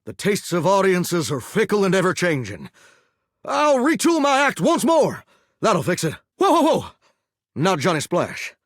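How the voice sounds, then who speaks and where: An actor playing a vain, folksy singing shower in a video game, with a theatrical Southern drawl and country sayings. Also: male voice, high-pitched, wildly swooping pitch, a little slow, no echo, clean studio sound, full character voice. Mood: defensive, determined. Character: flamboyant, folksy singing shower, vain would-be showbiz star. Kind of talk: animated video game character acting with bursts of song.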